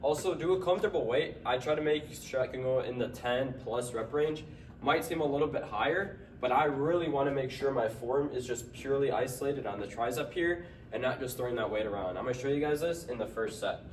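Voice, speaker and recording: A man's voice: mid-range voice, fairly quick, slight background noise. A young man with a stuffy nose giving casual workout tips in a gym, sometimes tripping over his words. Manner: trips over words, strains between sets. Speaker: fitness vlogger. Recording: echoey gym from far off.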